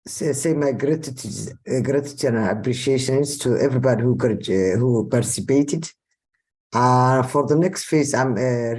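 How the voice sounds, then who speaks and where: A man's voice: medium pitch, warm tone. Hesitant man with a Somali accent. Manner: hesitant, many fillers and restarts. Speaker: caller. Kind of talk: casual thank-you speech. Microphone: video call.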